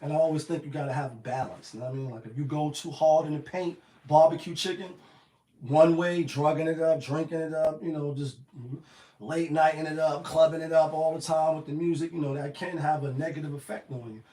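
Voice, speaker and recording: A man with a slightly raspy voice giving a casual, rambling motivational talk full of slang and "you know what I mean.". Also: on the high side, fairly even intonation, a little fast, dark tone, echoey room. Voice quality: a little raspy. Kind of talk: casual motivational monologue to camera.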